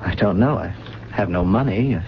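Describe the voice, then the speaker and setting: An actor playing a weary, gentle amnesiac in a radio drama, with formal, educated diction and a halting, pensive delivery. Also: male voice, fairly low pitch, gravelly. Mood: uncertain. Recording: dull, noisy 1970s radio recording.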